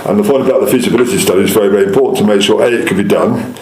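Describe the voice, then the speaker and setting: Councillor type: English man, quick, insistent explainer, interviewed in an echoey room. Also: fairly low pitch, a little mellow, a little hiss or hum. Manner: insistent, explanatory, repeats points. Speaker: local councillor. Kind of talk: interview answers, political persuasion.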